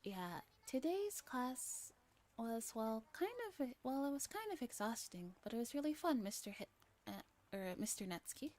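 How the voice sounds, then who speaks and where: A woman's voice: a little high, sing-song, moderate pace, darkish tone. A woman voicing a curious, timid little girl in a visual novel, with a hesitant, childlike manner. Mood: eager, shy.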